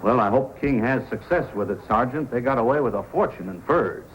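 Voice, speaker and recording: An actor playing a talkative, earnest frontier warehouse boss in old-time radio style. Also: male voice, medium pitch, lively intonation, fairly quick, close to an everyday voice. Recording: noisy old radio recording.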